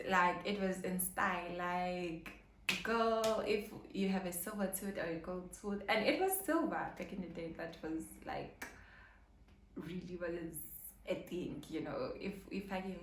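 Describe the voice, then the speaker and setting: A young woman vlogging in casual chat to camera, earnest and rambling with lots of fillers. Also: medium pitch. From South Africa, KwaZulu-Natal. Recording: roomy echo, faint background hum.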